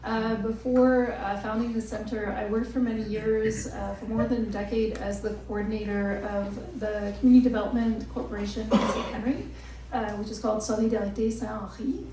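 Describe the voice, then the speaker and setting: A woman panelist introducing her work, talking in a measured way with many fillers. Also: fairly high pitch, flat delivery. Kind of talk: panel talk. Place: crowded hall. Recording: echoey room, distant mic, faint noise.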